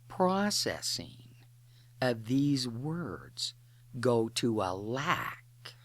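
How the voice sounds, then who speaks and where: A guided imagery narrator speaking a slow meditation script in short, deliberate phrases with pauses between them. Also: medium pitch, dry recording.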